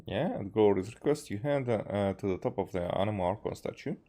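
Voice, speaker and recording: Halting male game streamer from Hungary. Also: lowish voice, lively intonation, warm tone, a little hiss or hum. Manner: halting, repeating words as he thinks. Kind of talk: casual playthrough commentary.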